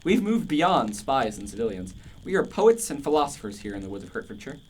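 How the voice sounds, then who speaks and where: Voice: medium pitch, sing-song, a little fast, darkish tone, breathy edge. An actor playing a passionate, contrarian older philosopher in a radio play, declamatory and emphatic. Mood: knowing.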